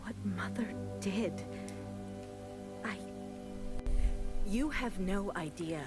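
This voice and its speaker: A woman's voice: medium pitch, animated, very slow. A woman voicing a wounded, resentful sister in a video game drama, speaking with hurt. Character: wounded resentful sister living in another's shadow. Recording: loud music over the voice.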